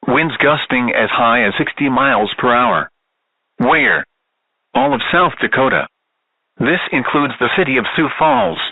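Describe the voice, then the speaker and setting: Through a narrow, tinny radio band comes a synthetic male announcer. He reads an emergency weather bulletin in stiff, clipped phrases at a middling pitch. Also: animated, unhurried, dry recording. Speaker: automated weather alert announcer.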